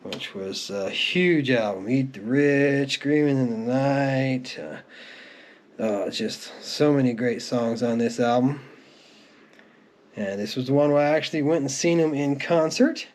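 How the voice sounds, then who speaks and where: Clear background noise sits under the voice of a middle-aged man. He talks at an average male pitch in an unscripted, conversational way. It is a casual show-and-tell, full of easy reminiscing. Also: measured pace, a little mellow. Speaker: music collector presenting their collection. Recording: clearly noisy home recording with clicks.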